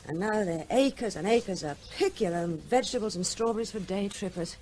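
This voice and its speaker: A woman's voice: medium pitch, normal speed, darkish tone, slight background noise, lightly acted, poor audio. An actress playing a vet's grown daughter in a radio play, a young British woman who is breezy, teasing and tender with animals. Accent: British English. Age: young adult. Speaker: actor in a radio drama.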